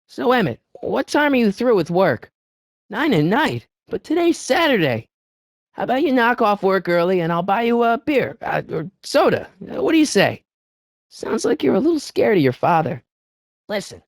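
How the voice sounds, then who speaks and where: A man's voice: high-pitched, big pitch swings, normal speed, creaky, dry recording, clean studio sound, exaggerated voice. A man playing an earnest, wisecracking American teenage boy in a video game, with a youthful voice and a casual, slightly hesitant, eager manner. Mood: coaxing.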